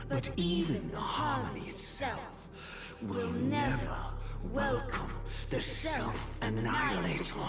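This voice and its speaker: Slow, imperious dream master. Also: female voice, on the high side, exaggerated voice. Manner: grandiose, formal, deliberate pronouncements. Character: stern, imperious dream master, solemn and menacing. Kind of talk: dramatic video game voice acting. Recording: roomy game audio under loud music.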